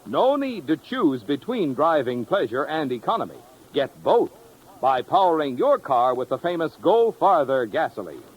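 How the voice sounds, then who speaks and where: A man's voice: on the high side, sing-song, normal speed, breathy edge, highs cut off. A man delivering a chummy, folksy 1950s radio commercial as the announcer.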